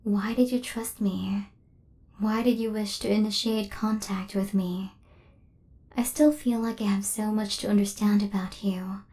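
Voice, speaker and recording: A woman playing an affectionate, literal-minded killer robot in an intimate ASMR roleplay, speaking softly and often near a whisper, in measured, formal phrasing. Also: medium pitch, fairly even intonation, lightly acted. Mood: tender, wondering.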